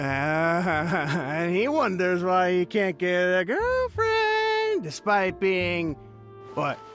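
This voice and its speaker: Slow, swooping tipsy buddy. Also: male voice, sits high, a bit bright, exaggerated voice. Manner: slurred drawling, chummy, rambling. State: drunk, acted. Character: tipsy, jovial, teasing male business pal. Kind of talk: video game voice acting.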